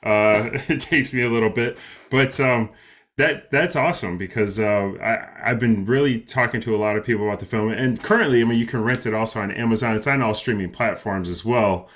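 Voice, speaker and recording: A man's voice: lowish voice, fairly quick, fairly bright tone. A man hosting an interview, asking questions casually with stammers and restarts. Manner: stammering, laughs easily. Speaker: interview host.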